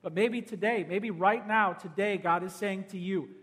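A high-pitched man preaching an urgent altar call to a congregation. He pleads and presses, climbing to loud, insistent peaks before sinking to a near-whisper. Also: moderate pace. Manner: urgent, pleading, swells loud then drops to a hush. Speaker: pastor. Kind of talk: evangelistic altar call sermon.

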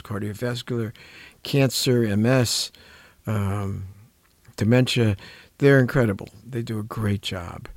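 An earnest older man with a fairly low voice talks reflectively in a dry, close recording. He often halts to search for a word, then leans firmly on the key ones. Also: creaky, no echo. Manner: halting, searching for words, earnest. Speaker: clinician and programme host. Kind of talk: reflective conversational talk on caregiving.

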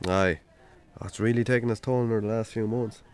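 An actor in a radio drama playing a weary, sardonic Irish farmer with a dry, colloquial, sweary way of talking. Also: male voice, fairly low pitch, fairly even intonation, brisk, a little mellow, natural voice. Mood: polite, guarded. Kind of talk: naturalistic radio drama acting.

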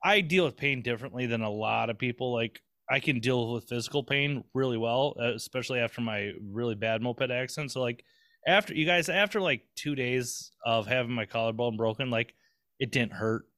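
Male podcast co-host, rambling and loose, crisp clear voice. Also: medium pitch, fairly quick, a bit bright, dry recording, clean studio sound. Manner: rambling, chatty, swears casually, many restarts. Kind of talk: casual podcast chat.

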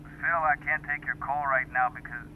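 A man's voice: mid-range voice, fairly even intonation, normal speed, phone-quality sound, a little hiss or hum. An actor playing a distracted man on a phone voicemail greeting in an audio drama. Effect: phone voicemail filter.

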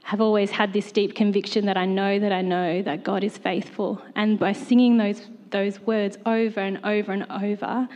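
A woman's voice: mid-range voice, level tone, moderate pace, hardly any pauses, a little mellow. Woman, worship leader, earnest and reflective, hall reverb. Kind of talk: panel answer.

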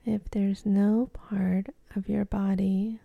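A woman guides a meditation slowly and gently, leaving long pauses. Her voice is soft and breathy, often almost whispered, with some creak at the edges. The sound is close and dry, soothing throughout. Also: medium pitch, flat delivery, no echo, phone-quality sound.

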